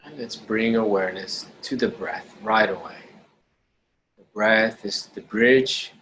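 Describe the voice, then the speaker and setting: A man leading a guided meditation in a slow, deliberate, gentle instructional way, pausing between short phrases. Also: fairly low pitch, rough recording. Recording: clearly audible background noise.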